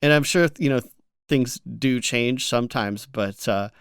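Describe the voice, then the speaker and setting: A man co-hosting a gaming news show in casual, informal, somewhat halting talk peppered with filler words. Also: mid-range voice, fairly bright tone, clean tone, dry recording, studio quality. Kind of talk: casual podcast chat.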